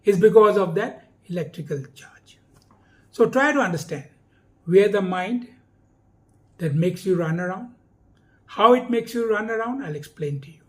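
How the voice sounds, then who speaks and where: A man presenting a simple explanatory talk to viewers in a deliberate, emphatic, teacherly manner. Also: high-pitched, animated, fairly bright tone.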